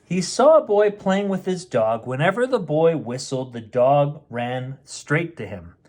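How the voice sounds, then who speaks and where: A man's voice: fairly high pitch, big pitch swings, a little slow, a little mellow. A middle-aged man reading a picture book aloud as a storyteller, with playful, chatty asides aimed at children. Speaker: storyteller, host of a bedtime story show.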